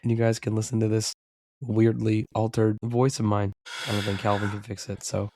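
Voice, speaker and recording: A man's voice: on the low side, moderate pace. A man hosting a podcast in a casual chat, his voice worn from losing it for a week. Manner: relaxed, chatty, laughs easily. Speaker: podcast host.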